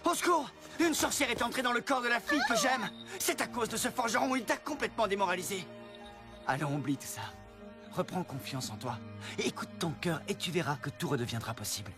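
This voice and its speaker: An actor playing a devoted, earnest young prince in a cartoon film. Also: male voice, sits high, animated, brisk, music in the background. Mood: alarmed, then reassuring. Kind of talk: French cartoon film dub.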